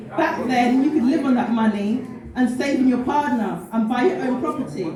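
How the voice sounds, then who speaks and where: A woman reading spoken-word poetry as personal storytelling, in a heartfelt, deliberate manner. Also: on the high side. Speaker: poet. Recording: very echoey room, distant mic, faint noise.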